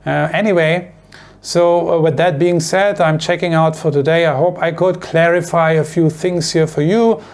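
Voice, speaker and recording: A man's voice: on the high side, a little mellow. A man from Germany with a strong German accent, German being his mother tongue, talking casually to camera as a vlogger, rambling and repeating himself with many fillers and "you know" and "right?" tags.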